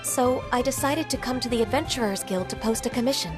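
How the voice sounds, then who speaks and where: A woman voices a dutiful young secretary in an anime game. Her manner is gentle and polite, with careful phrasing in a higher-than-usual female register. Music is clearly audible underneath. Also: fast, lightly acted. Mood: concerned, polite.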